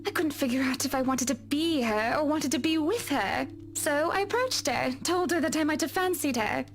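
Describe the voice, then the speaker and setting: A woman's voice: high-pitched, moderate pace, a little hiss or hum. An actress voicing a poised, genteel wealthy woman in a visual novel, speaking in a refined, prim way. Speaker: game character. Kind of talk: voiced visual novel dialogue.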